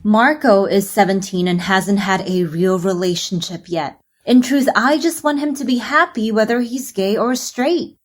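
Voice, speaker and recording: A woman's voice: mid-range voice, unhurried, few pauses, close to an everyday voice. A woman playing an overbearing, gushing mother of a teenage son in a comedy audio drama, with a blunt, eager manner. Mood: earnest, oblivious.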